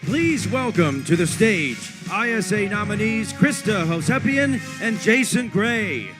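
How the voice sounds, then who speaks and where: A man's voice: high voice, expressive, unhurried. A man working as an event announcer, reading scripted introductions and award notes at a ceremony. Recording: loud music under the voice.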